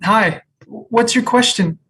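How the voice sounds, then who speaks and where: Casting: actress as young cafe regular, husky, unhurried, friendly and low-key, comedy read. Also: female voice, fairly bright tone, full character voice, poor audio. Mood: relaxed, friendly. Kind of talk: comedy table read acting.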